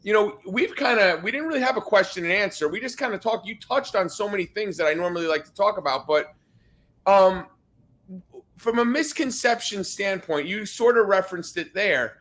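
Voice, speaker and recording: A man's voice: sits high, lively intonation, moderate pace, fairly bright tone. A man hosting a podcast, chatting casually with a guest, often restarting and repeating himself and teasing playfully. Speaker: podcast host. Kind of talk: casual interview chat.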